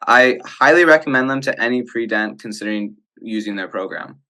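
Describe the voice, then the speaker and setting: A man's voice: mid-range voice, brisk, pushed and bright. A young man giving an enthusiastic, conversational testimonial over a video call. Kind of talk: casual testimonial.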